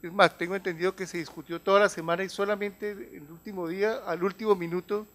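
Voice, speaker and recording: A man in his fifties with a Colombian accent gives a semi-formal conference presentation, explaining things in a halting way with repeated phrases. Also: sits high, steady tone, fairly bright tone. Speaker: health organization advisor giving a talk. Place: large conference room. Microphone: handheld or table microphone.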